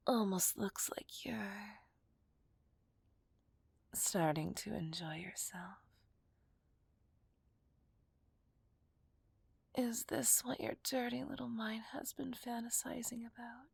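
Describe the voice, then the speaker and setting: A woman playing a seductive, menacing villain kidnapper in a whispered ASMR roleplay, breathy, teasing and possessive. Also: a little high, steady tone, no echo, exaggerated voice. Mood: teasing, dominant. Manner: slow, teasing, dominant.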